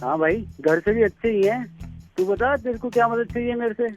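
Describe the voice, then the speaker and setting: A man's voice: extremely high pitch, a little fast, soft background music. A man in a casual phone chat, talking in a cocky, blunt way. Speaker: prank call recipient.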